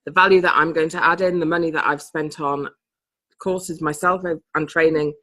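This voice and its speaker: A woman's voice: sits low, fairly even intonation, normal speed, fairly bright tone. A woman chatting casually on a group video call. She laughs often and sometimes loses her thread. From Britain. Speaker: participant in a coaching group call.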